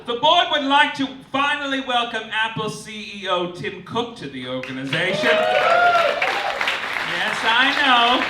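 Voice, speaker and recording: A man performing a satirical stage monologue as host, with an arch, campy, theatrical delivery of mock official announcements. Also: sits high. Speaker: comedian, host of a live comedy show. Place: live stage in a club before an audience. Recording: roomy, distant live recording with noise.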